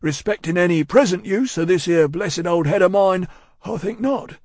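A man's voice: high voice, normal speed, a little mellow, no room sound, studio quality, pitched above the performer's own voice. A man voicing a solemn, repentant working-class London man in a storybook, with a Cockney accent and a ponderous, preachy, emphatic way of talking. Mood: solemn, earnest. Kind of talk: audiobook character acting.

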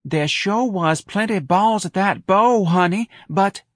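A man's voice: sits high, slow pace, no echo, clean studio sound, pitched above the performer's own voice. A man voicing a naive, eager young woman who dreams of being a dancer, guileless and pleading, in an audiobook. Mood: earnest.

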